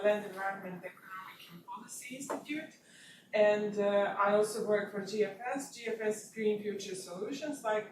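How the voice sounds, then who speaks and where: A woman presents an academic seminar, speaking in a mid-range voice and often pausing on fillers as she restarts her phrasing. She is recorded from a distance in an echoey seminar room, with clear noise underneath. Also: medium pitch. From Bosnia. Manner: frequent fillers and restarts, slightly non-native phrasing. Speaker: research fellow giving a seminar talk.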